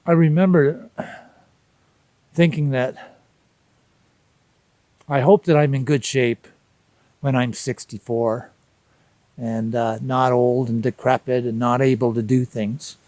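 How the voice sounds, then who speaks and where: A man, speaking a reflective personal monologue in an unhurried, contemplative way with deliberate pauses. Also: mid-range voice, fairly even intonation, darkish tone, no echo. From Canada. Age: 60s. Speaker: vlog host.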